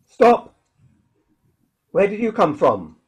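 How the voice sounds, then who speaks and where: Jumpy, high-pitched militiaman survivor, acted by a man. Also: wildly swooping pitch, husky, exaggerated voice. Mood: wary, jumpy. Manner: folksy, halting, agitated, stammering repeats. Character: jumpy, defiant militiaman surviving alone after invasion. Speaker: actor in a radio play. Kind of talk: dramatic radio play dialogue.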